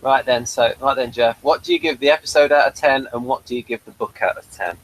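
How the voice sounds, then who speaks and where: A man's voice: medium pitch, fast talker, fairly bright tone, poor audio. Give me an easygoing man casually hosting an episode review, chatty and a little hesitant. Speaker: podcast host.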